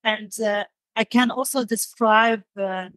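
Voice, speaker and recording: A woman's voice: a little high, fairly even intonation, slow pace, a bit bright, dry recording. A woman from Syria in English, speaking thoughtfully as an interview guest and often searching for words. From Damascus, Syria. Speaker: podcast guest, designer.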